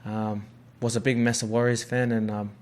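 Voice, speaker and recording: A man's voice: mid-range voice, level tone, moderate pace. Laid-back young New Zealand man. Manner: laid-back, rambling, lots of fillers and swearing. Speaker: rugby league player. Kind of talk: casual podcast chat.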